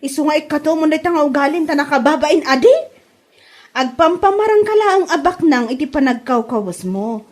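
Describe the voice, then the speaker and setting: Actress as a nosy Ilocano village wife, high voice, scolding and emphatic, for comedy drama. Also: female voice, animated, moderate pace. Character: sharp-tongued, scolding village woman, a gossipy neighbour and wife. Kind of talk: Ilocano comedy radio-style drama acting.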